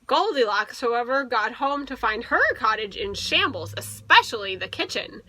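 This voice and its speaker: A woman teacher reading a picture book aloud to children, playfully expressive, stretching words for emphasis and adding asides. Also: fairly high pitch, normal speed, no echo.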